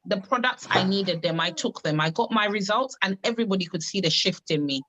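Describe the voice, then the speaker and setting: A woman from Uganda, giving an emphatic, repetitive motivational pitch on a live call. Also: brisk, a bit bright, muffled recording, a little hiss or hum. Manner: emphatic, repetitive, testimony-driven. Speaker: wellness business coach on a live call.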